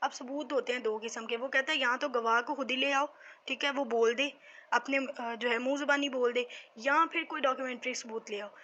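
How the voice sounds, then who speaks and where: A woman's voice: high voice, fairly even intonation, fairly quick, bright, forceful tone, lo-fi sound, slight background noise. A woman with a Pakistani accent giving an informal explanatory lecture as a teacher, conversationally asking questions and answering them herself. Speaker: law teacher.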